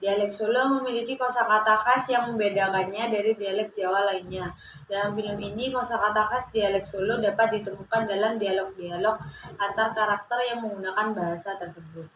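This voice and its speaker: A woman's voice: medium pitch, fairly bright tone. Young woman, student presenter, reading aloud, stumbles and restarts words, echoey room, faint noise. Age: young adult. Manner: reads steadily with frequent restarts on words.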